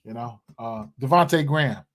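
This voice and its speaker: A man's voice: mid-range voice, normal speed, fairly bright tone. A young man hosting a livestream, talking casually and loosely with lots of fillers, repeats and slang while reading viewers' comments. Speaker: sports betting livestream host. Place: indoor room.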